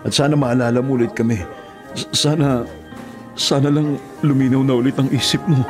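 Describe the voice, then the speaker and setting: An actor playing a devoted, burdened eldest son, hesitant and earnest, in a Filipino radio drama. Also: male voice, mid-range voice, fairly even intonation, normal speed, close to an everyday voice. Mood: pleading. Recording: loud music bed behind voice.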